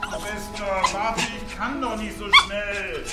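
A man's voice: high-pitched, fairly even intonation, unhurried, over loud music. An actor playing an easygoing, wry homeless man with a dog in a children's radio play. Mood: calling out.

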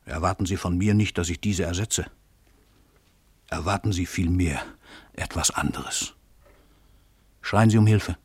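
An actor playing a pompous, cold-blooded famous crime writer in a radio play, declaiming in a grand, commanding way. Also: male voice, low voice, a little slow, long pauses, breathy edge. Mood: menacing, haughty. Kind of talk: German radio play acting.

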